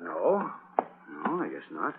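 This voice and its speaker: An American man with a gravelly, husky voice acting a stubborn, plain-spoken homesteader father in a 1950s radio western drama. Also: fairly low pitch, huge pitch swings, takes it slowly, exaggerated voice. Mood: defiant. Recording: dull old radio broadcast.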